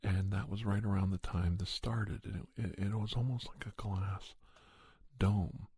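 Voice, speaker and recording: A man plays an earnest investigator of strange creatures, recounting his hunt as a soft ASMR story. The voice is lowish and very mellow, with a heavily breathy, husky grain. He speaks haltingly, pausing mid-sentence as he pieces the account together. It sounds close and dry. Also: steady tone, no room sound, exaggerated voice. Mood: hushed, musing. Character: Doctor Andrew Michaels, earnest monster investigator recounting a hunt. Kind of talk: ASMR storytelling, told as first-person account.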